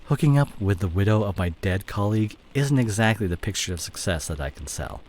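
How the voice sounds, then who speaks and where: A man's voice: on the low side, lively intonation, brisk, darkish tone, a little hiss or hum, lightly acted. A man playing a fallen, evasive CEO boyfriend in an intimate, dramatic roleplay audio drama. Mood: exasperated. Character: cheating fallen CEO boyfriend, smooth-talking, evasive, image-obsessed.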